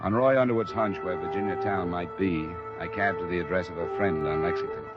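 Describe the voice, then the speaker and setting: Low-voiced hard-boiled radio detective, played by a man. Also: fairly quick, lightly acted. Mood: matter-of-fact. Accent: American. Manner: clipped, businesslike. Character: hard-boiled male insurance investigator, businesslike and unruffled. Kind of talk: 1940s-50s American radio detective drama acting and narration. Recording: dull vintage radio recording with clear music.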